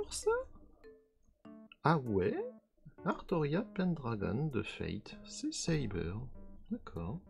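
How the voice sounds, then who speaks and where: A man with a hoarse voice casually commenting as he unboxes trading cards, often trailing off while he tries to recall names. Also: mid-range voice, a little mellow. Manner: musing, trails off hunting for names. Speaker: card unboxing video host.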